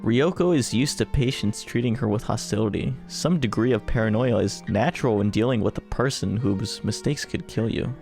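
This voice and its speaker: Creaky-voiced man reading a game aloud. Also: lowish voice, normal speed, few pauses, a little mellow, faint music behind. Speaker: video game player reading a visual novel aloud. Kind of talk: read-aloud narration with casual joking commentary.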